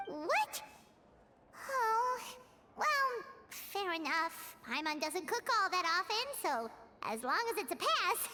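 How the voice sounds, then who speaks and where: An excitable little fairy mascot from a video game, with a squeaky, extremely high childlike voice. She swoops around in pitch yet takes her time, boastful and cute, with loud music behind. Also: slow pace, heavy background noise, heavily acted. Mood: indignant surprise. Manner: refers to self in third person, excitable. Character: small chirpy fairy companion, childlike, boastful, food-loving.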